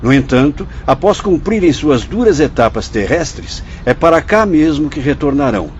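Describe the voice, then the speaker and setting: A man's voice: a little high, lively intonation, close to an everyday voice. Actor as solemn fatherly spirit doctor, dull recording. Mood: grave, instructive. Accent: Brazilian. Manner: didactic, formal, measured. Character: solemn fatherly spirit doctor guiding visitors through a hospital. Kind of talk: Brazilian radio drama acting. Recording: dull lo-fi audio, faint noise.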